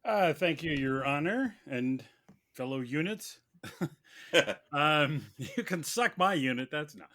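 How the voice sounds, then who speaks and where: A man playing a mock defense lawyer, making a wordy, halting film argument in formal vocabulary. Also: fairly high pitch, animated, pushed and bright. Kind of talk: semi-prepared humorous film argument.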